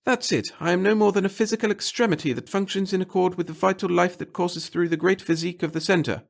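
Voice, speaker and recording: Man playing a fussy, high-voiced pompous little clerk. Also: fast talker, creaky. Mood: pompous, devoted. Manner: flowery, babbling, obsequious, pompous phrasing. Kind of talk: audiobook character voice in a sci-fi short story.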